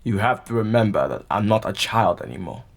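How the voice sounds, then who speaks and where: A male actor plays an earnest young warrior son in an audio drama. His voice is fairly low, rough and husky, and moves expressively up and down as he speaks slowly and dutifully to his family. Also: lively intonation. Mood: respectful.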